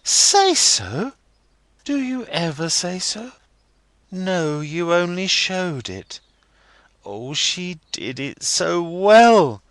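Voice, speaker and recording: Man putting on a girlish young woman, high sing-song voice, bright tone, dry sound. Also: big pitch swings, slow, dry recording, exaggerated voice. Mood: playful, teasing.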